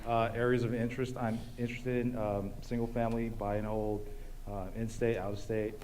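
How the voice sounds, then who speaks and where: A man, a local realtor, introducing himself softly with many fillers. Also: medium pitch, little pitch movement, brisk, a little hiss or hum. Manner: soft, filler-heavy list.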